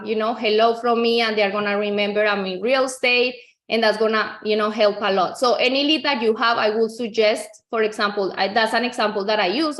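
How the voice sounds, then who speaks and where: Higher-pitched woman with a Colombian accent. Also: a bit bright. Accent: Colombian Spanish. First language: Spanish. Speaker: real estate agent giving a software tutorial. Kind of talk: informal screen-share tutorial.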